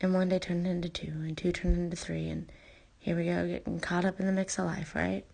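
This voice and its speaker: A woman telling personal memories in an intimate, halting, confessional way, her breathy voice often thinning toward a near-whisper. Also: on the low side, flat delivery, a little mellow. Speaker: personal storyteller addressing a former partner. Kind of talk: intimate spoken memoir, unscripted.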